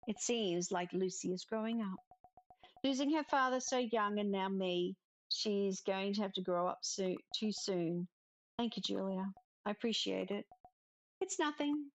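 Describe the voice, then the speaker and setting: A woman's voice: medium pitch, lo-fi sound. A woman reading visual novel dialogue aloud expressively, with casual commentary of her own. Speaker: let's-player narrator.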